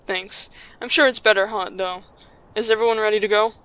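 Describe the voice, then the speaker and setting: A woman playing a calm, practical, reassuring young group leader in an audio drama, with steady delivery. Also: medium pitch, brisk, clear, resonant tone, lightly acted, low-quality recording.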